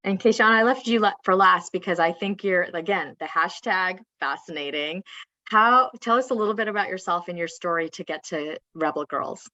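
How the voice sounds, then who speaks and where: A woman's voice: on the low side, moderate pace, sharp tone, no echo. A woman casually hosting a panel interview, heard through dull, lo-fi audio. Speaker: interview host.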